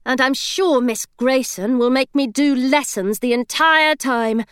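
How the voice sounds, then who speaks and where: A woman's voice: sits high, measured pace, a bit bright, clear, resonant tone, no echo, clean studio sound, voice pushed up. A woman reading aloud, voicing a spirited, stubborn young girl who speaks earnestly.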